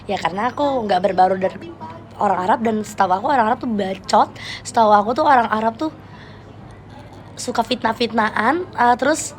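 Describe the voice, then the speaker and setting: A young woman with a Jakarta Betawi slang accent chatting casually with viewers on a live stream, playful and quick to laugh. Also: fairly high pitch, a little hiss or hum. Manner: chatty, playful, laughs often, switches registers.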